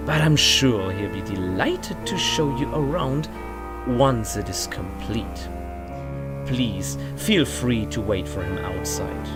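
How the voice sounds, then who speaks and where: A fussy mansion steward in a fantasy audio drama, pitched low and speaking with theatrical swoops. The delivery is pompous, courteous and formal, like a tour guide showing off a grand house, with music playing prominently behind. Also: female voice, heavily acted. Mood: politely dismissive. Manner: pompous, formal, mannered hesitations.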